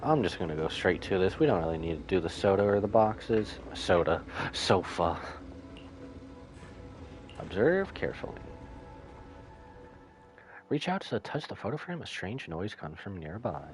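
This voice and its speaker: A man's voice: low-pitched, big pitch swings, normal speed, long pauses, faint music behind. A man giving casual playthrough commentary as a game streamer, reading on-screen text aloud as he goes.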